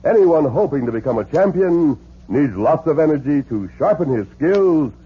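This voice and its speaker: A man's voice: on the high side, animated, normal speed, breathy edge. A man playing an upright, commanding masked cowboy hero in an earnest, declamatory 1950s radio style. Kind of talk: 1950s radio western acting. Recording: muffled 1950s radio recording with hiss.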